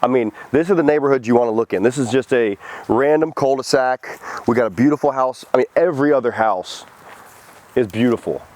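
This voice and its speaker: A man's voice: medium pitch, brisk, a little mellow. Sniffly man touring a house. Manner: casual, profane, thinking aloud. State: head cold, sniffly. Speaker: house flipper showing a property. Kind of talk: casual walkthrough vlog. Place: outdoors at a house.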